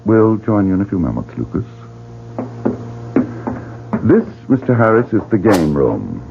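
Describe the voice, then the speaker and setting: A man's voice: lowish voice, big pitch swings, measured pace, gravelly, music in the background, exaggerated voice. A man playing a suave, sinister club director in an old radio drama, teasing and theatrical. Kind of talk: 1940s radio drama acting. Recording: phone-like old radio broadcast.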